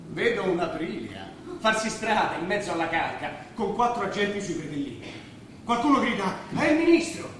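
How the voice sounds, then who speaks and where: A man acting on stage as an excitable working-class Roman who tells street stories vividly and mimics the people he quotes. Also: high-pitched. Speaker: stage actor. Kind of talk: theatrical stage drama dialogue. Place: theatre stage, recorded from afar. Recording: echoey stage, distant mic, faint noise.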